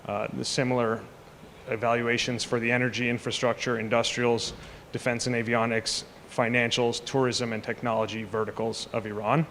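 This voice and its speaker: A man's voice: medium pitch, flat delivery, moderate pace, fairly bright tone, big-room sound, a little hiss or hum. A man moderating a conference panel, asking loose questions full of fillers. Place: conference hall.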